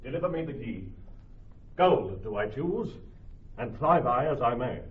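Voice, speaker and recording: Guy acting a proud solemn prince, really slow and declaimed, muffled far off old radio play. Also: mid-range voice, expressive, natural voice. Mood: solemn deliberation. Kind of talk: classic radio drama acting, Shakespearean.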